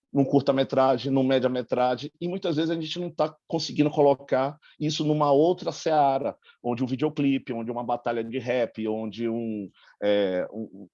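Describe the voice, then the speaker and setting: A Brazilian man from Salvador giving spontaneous, discursive panel commentary, halting as he searches for words. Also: mid-range voice, warm tone, no echo, dull audio. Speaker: film festival organizer and filmmaker on a panel.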